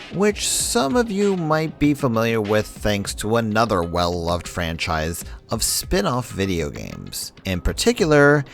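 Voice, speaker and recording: A man narrating a scripted video essay in a conversational way with wry asides. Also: fairly low pitch. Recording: background music under voice. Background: music.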